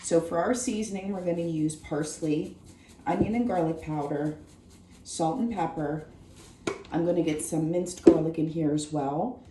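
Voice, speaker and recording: Hoarse woman hosting a home cooking show. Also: sits low, normal speed, echoey room, background noise. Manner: friendly, chatty, talks viewers through each step. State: hoarse, losing her voice. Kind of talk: casual cooking demonstration. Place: home kitchen.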